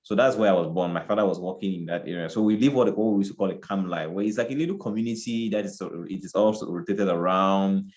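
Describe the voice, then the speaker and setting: A low-voiced man from Cameroon, talking as an interview guest. He speaks reflectively and casually, often circling back and repeating phrases as he looks for the right words. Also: quick pace, hardly any pauses, darkish tone, dull audio.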